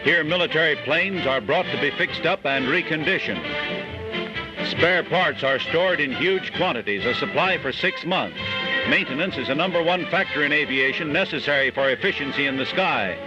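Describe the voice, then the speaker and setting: A man's voice: a little high, animated, moderate pace. A man with an American accent reading formal scripted narration as a 1940s newsreel announcer. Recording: muffled 1940s newsreel soundtrack. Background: loud music.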